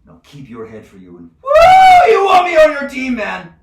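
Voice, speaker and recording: A man's voice: low voice, animated, normal speed. A man playing a menacing, foul-mouthed zealot who sits as divine judge, in a theatrical monologue with a profane, taunting, domineering manner. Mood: frenzied. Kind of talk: theatrical monologue, swinging from whispers to screams. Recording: echoey room with clear background noise.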